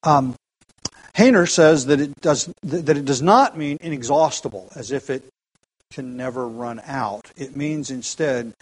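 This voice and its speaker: A man's voice: mid-range voice, unhurried, breathy edge, poor audio. A man preaching an expository sermon, earnest and emphatic, often restarting phrases.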